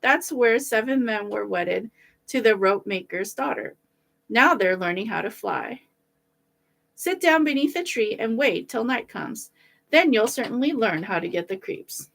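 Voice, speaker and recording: A woman's voice: a little high, lively intonation, a little slow, gravelly, a bit bright, full character voice. A woman voicing a knowing male traveller in a fairy tale who gives grim, wry advice.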